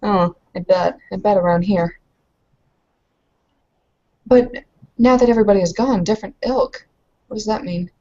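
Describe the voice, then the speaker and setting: A woman acts out a proud, eager werewolf newcomer for a tabletop game. She speaks at a medium pitch with halting excitement, recorded slightly lo-fi. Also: lightly acted. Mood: curious. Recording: dull lo-fi voice recording.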